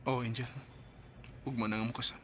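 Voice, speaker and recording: A man's voice: medium pitch, little pitch movement, brisk, pushed and bright, a little hiss or hum, natural voice. An actor playing a wistful young man torn over an old love in a sentimental radio drama. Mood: hesitant, tender. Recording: music under the voice.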